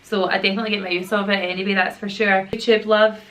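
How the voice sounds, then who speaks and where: Chatty Glaswegian mum with a sore throat. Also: female voice, medium pitch, flat delivery, quick pace, a bit bright, a little hiss or hum. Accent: Glaswegian Scottish. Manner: chatty, rambling, slangy. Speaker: YouTuber and mum. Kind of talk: casual Q&A chat to camera. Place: at home with children nearby.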